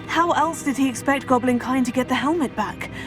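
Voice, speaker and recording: Practical teenage witch, played by a woman, composed, inquisitive, slightly high voice, fantasy game dialogue. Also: brisk, lightly acted. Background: faint background music.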